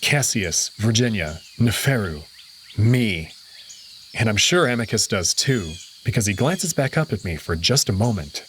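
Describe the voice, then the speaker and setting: Male narrator, low-pitched, expressive pitch, dramatic first-person storytelling. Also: unhurried, a little hiss or hum. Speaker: narrator of a visual novel.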